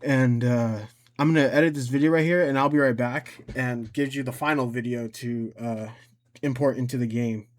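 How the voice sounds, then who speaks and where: A man with an average-pitched voice walks viewers through a software tutorial. His delivery is casual and unpolished, and he often talks to himself, thinking aloud while he explains each step. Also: steady tone, normal speed. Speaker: tutorial creator and presenter.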